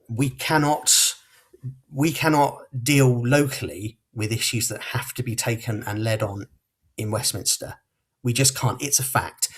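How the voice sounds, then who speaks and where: A man from Portsmouth giving a firm, punchy closing campaign speech as a local candidate, in short declarative sentences. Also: mid-range voice. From Portsmouth, England.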